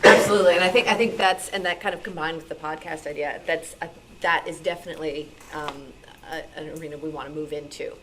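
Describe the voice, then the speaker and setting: A woman's voice: low voice, fairly even intonation, fast, a bit bright. A woman giving informal, encouraging answers as a radio show panelist at a meeting. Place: meeting room. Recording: noisy live meeting room.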